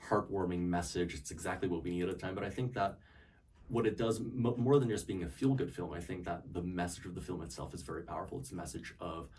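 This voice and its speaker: Low-voiced young man, off-mic. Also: quick pace, darkish tone, slight background noise. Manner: thoughtful, searching for words. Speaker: interviewee. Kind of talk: casual interview answers. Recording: voice picked up from a distance.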